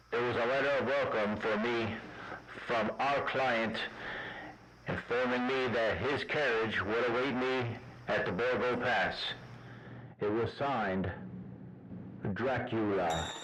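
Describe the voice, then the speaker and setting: A man playing an earnest law clerk, narrating a travel journal in a formal, measured radio drama style. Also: medium pitch, fairly bright tone. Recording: noisy amateur radio drama.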